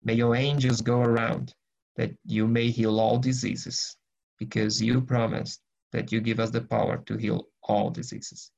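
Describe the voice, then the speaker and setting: Man, mid pitch, gravelly, group study leader on a lo-fi video call. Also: flat delivery, measured pace, darkish tone. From Brazil. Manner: earnest, rambling, frequent restarts and fillers. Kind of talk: casual teaching and prayer in an online group session.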